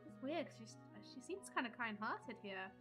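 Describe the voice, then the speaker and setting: A woman's voice: fairly high pitch, sing-song, normal speed. A woman game streamer chatting casually and reacting to the game as she plays. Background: game music.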